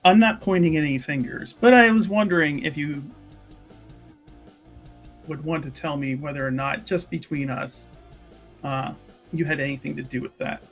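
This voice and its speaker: A man plays a composed fantasy noblewoman in a tabletop roleplaying game, pitched a little high. The words come out measured and halting, diplomatic yet pointed. Faint music runs underneath. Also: natural voice. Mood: probing. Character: composed noblewoman confronting a rival mage, courteous but pointed. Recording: music behind the voice.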